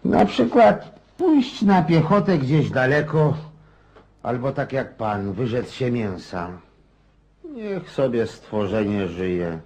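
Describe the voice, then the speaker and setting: An actor playing a garrulous, restless old Russian country landowner in a radio drama, rambling in a folksy way. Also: male voice, medium pitch, big pitch swings, takes it slowly, darkish tone, heavily acted. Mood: musing, confiding. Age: older. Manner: rambling, folksy, muses aloud.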